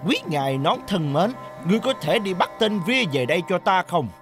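A scornful old villain boss in a cartoon dub. The voice is high and swings widely, commanding and theatrical. Loud music runs behind it. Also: male voice, lively intonation, a little fast. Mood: gleeful. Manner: haughty, commanding.

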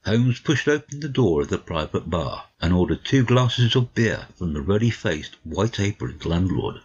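A man's voice: sits low, big pitch swings, normal speed, slightly rough. A man narrating a literary audiobook from a script. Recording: slightly dull, lo-fi audio.

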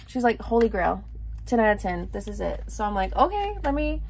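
Raspy-voiced young woman chatting casually. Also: medium pitch, very animated, a little fast. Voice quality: raspy, dry morning voice. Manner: rambling, lots of likes and ums. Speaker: vlogger. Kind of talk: casual chat to camera. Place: small room indoors.

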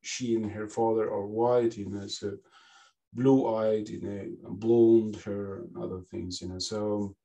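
Slow, hesitant man on a video call. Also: on the low side, little pitch movement, dark tone, recorded from a distance, low-quality recording. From Egypt. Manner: hesitant, constant "you know" and restarts. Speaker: panelist, classics lecturer. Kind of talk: unscripted panel talk. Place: home office with bookshelves, indoors. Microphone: headset on a video call.

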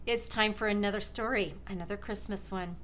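A woman's voice: medium pitch, steady tone, fairly quick, fairly bright tone. A middle-aged American woman reading a children's storybook aloud, expressively, with chatty asides to young listeners. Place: large living room, indoors. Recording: clear background room noise.

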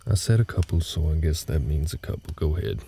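Man voicing gruff cowboy mentor, audio roleplay, dark mellow tone, lively rise and fall, halting folksy phrasing, faint night sounds behind. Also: exaggerated voice. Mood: relaxed, wry. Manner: halting, low-key, folksy drawl-like phrasing. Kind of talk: intimate scripted roleplay audio drama. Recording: night ambience noise behind the voice.

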